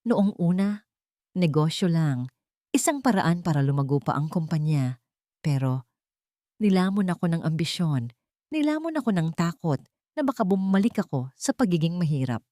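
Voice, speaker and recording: A woman voicing a remorseful, tearful businesswoman who has lost everything, speaking softly and brokenly. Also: on the low side, sing-song, moderate pace, pauses often, no room sound, studio quality. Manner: soft, broken, pleading.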